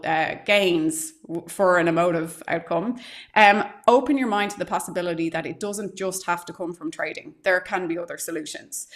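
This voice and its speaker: Soft-spoken low woman coach. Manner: thoughtful, explains step by step with fillers. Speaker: trading psychology coach. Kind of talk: live-stream advice talk.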